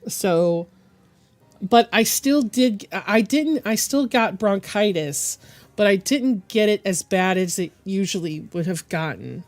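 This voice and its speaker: A woman streaming while she draws, her voice hoarse and deepened by bronchitis, talking in a halting, rambling way and clearing her throat often. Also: lively intonation, darkish tone, faint music behind. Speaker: digital art streamer. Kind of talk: casual live-stream chat.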